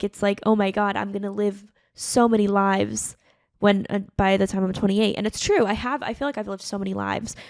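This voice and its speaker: A woman's voice: mid-range voice, gravelly, a little mellow, no room sound, studio quality. A woman in her late twenties hosting her own podcast, talking alone in an earnest, chatty way with lots of fillers. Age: late 20s. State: tearful, emotional. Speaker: podcast host. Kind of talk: casual solo podcast monologue.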